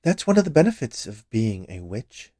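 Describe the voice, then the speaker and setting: A man's voice: medium pitch, very animated, normal speed, warm tone, no room sound, clean studio sound, voice pushed up. A man voicing a century-old witch confectioner in a story reading, gushing and theatrical with a vengeful streak. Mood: sinister, vengeful. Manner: gushing, theatrical, overly polite. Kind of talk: dramatized short story reading.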